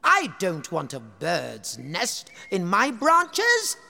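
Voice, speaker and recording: Lady doing a stuck-up oak tree, high and squeaky, snooty, pitch going all over the place. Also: wildly swooping pitch, extremely slow, creaky, bright, forceful tone, in a large hall, full character voice. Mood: haughty. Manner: snooty and self-satisfied. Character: vain, haughty talking oak tree.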